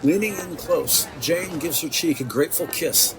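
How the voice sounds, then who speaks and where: A man's voice: on the high side, moderate pace, a crowd in the background. A man narrating an audio drama from a script in a descriptive, theatrical storytelling manner. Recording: music behind the voice.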